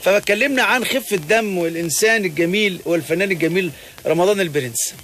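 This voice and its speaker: A man hosting a radio show, interviewing callers by phone in quick, restless talk full of fillers and restarts. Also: high-pitched. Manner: fast, animated, many fillers and restarts. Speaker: radio host.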